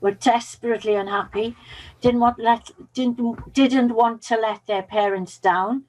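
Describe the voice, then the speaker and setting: A woman's voice: a little high, fairly even intonation, a little slow, darkish tone, poor audio. Chatty Welsh-speaking woman coach. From Wales. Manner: chatty, storytelling, with fillers. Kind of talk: interview guest.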